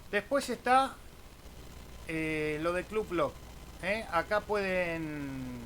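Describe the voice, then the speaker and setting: Higher-voiced Argentine man, noisy background. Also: fairly bright tone. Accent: Argentine Spanish. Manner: hesitant, explanatory, punctuated with checking tags. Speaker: amateur radio operator and video host. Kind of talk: informal screen tutorial.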